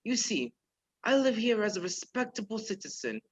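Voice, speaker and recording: A performer playing a polite, measured male professor who is secretly a menacing spy chief in a radio play. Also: mid-range voice, big pitch swings, husky. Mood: quietly menacing. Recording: dull lo-fi virtual recording.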